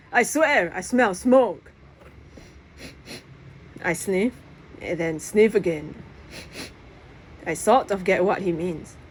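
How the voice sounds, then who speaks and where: A woman reading a children's novel aloud in expressive narration. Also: lowish voice. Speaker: audiobook reader. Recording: clear background noise.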